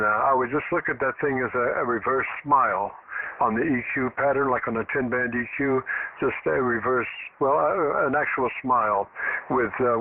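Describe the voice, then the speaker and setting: Tinny, noisy radio voice of a halting man. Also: medium pitch. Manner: halting, deliberate, frequent pauses. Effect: radio transmission. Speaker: amateur radio operator. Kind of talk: casual technical advice over radio. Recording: noisy, narrow-band shortwave radio reception.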